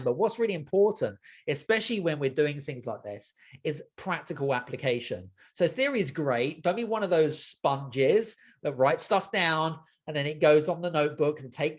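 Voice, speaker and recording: A man's voice: fairly high pitch, a bit bright. A man hosting a casual podcast interview, chatty and inclined to repeat his affirmations and phrases. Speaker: podcast host.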